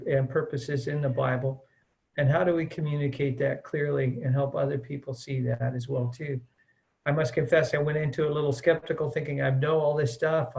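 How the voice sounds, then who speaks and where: A man's voice: on the high side, vocal fry, rough recording. A middle-aged man from the United States, talking in an earnest, chatty way with lots of "uh" and "um" as an interview guest. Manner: earnest, chatty, with many "uh" and "um" fillers. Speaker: interviewee, missions mobilizer. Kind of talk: relaxed conversational interview.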